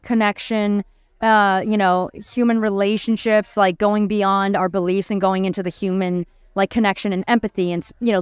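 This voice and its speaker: A young woman in her twenties, an actor, answering red-carpet questions thoughtfully with many pauses, fillers and restarts, into a handheld mic. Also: mid-range voice, smooth, no room sound, clean studio sound. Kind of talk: casual red-carpet interview. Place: busy premiere red carpet, indoors. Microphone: handheld mic held by interviewer.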